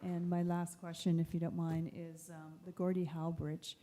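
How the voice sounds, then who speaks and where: A woman's voice: lowish voice, little pitch movement, moderate pace, mellow. A woman councillor asking a polite question at a public meeting, hesitant with many ums. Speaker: city councillor.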